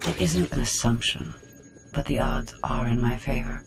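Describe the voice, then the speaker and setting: An actor voicing a smug, calculating machine intelligence that talks precisely and with condescension in a video game. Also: male voice, medium pitch, moderate pace, lightly acted. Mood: smugly menacing. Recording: music behind the voice.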